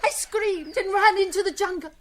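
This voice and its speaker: A woman's voice: very high voice, normal speed, fairly bright tone. A woman playing a frightened young woman in an old-time radio adventure, breathless, distraught and melodramatic. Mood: horrified, shaken. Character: frightened young woman searching a jungle for her missing father. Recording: vintage radio recording with faint noise.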